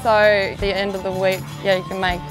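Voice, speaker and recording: A woman's voice: mid-range voice, flat delivery, fairly quick, music in the background. A woman talking casually in an interview as a solar farm worker.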